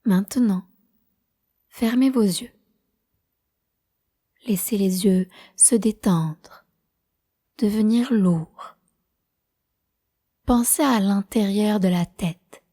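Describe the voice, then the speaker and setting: A woman guides a meditation at a very unhurried pace. Her voice is soft-edged and mellow, at a medium pitch. She gives each instruction in a calm, measured, soothing manner. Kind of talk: slow guided prenatal meditation narration.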